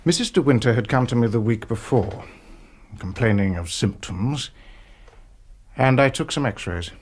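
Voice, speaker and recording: An actor playing a retired British doctor, older, deliberate and measured, in a radio drama. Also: male voice, mid-range voice, lively intonation. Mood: reluctant, measured. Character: slow, deliberate retired male doctor, reluctant but frank.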